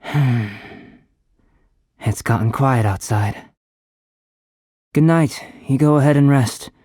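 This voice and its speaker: A male actor playing a calm, reserved young alchemist in a video game, soft-spoken and measured, with polite, formal phrasing and gentle curiosity. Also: mid-range voice, no room sound, studio quality. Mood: quiet reserve. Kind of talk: scripted video game character voice lines.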